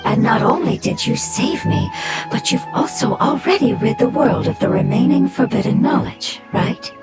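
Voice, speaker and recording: A woman voicing an ancient, sorrowful non-human being in a video game, calm, solemn and formal. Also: fairly high pitch, normal speed, lightly acted. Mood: wistful. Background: game music.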